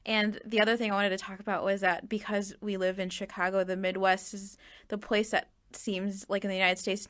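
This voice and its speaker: A woman's voice: medium pitch, fairly bright tone. A young woman whose first language is Urdu, chatting casually on a panel podcast, laughing often and acting out little exchanges. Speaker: guest.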